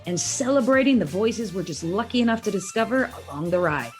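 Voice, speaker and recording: A woman co-host reads a scripted podcast opening with lively promo energy. She speaks at a middle pitch, and faint music runs underneath her. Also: a little fast. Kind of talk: scripted show intro.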